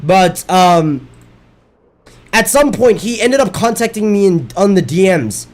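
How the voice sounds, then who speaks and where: A man's voice: sits high, lively intonation, measured pace. A man streaming a video game and chatting casually, his voice worn from daily streaming, rambling and correcting himself as he goes. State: losing his voice. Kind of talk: casual chat while gaming.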